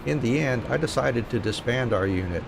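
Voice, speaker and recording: A man's voice: medium pitch, normal speed, creaky. A man streaming a game, reading story dialogue aloud and chatting casually. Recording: steady noise behind the voice.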